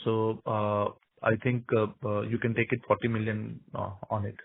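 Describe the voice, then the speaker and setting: A man's voice: on the low side, level tone, normal speed, creaky. A man with an Indian accent giving matter-of-fact answers as a finance executive on an earnings call, restating his points. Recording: dry, tinny phone line.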